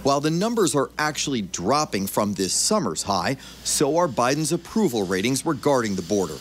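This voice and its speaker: A man's voice: mid-range voice, sing-song, moderate pace, a little hiss or hum. An American man reporting the news as a broadcast correspondent.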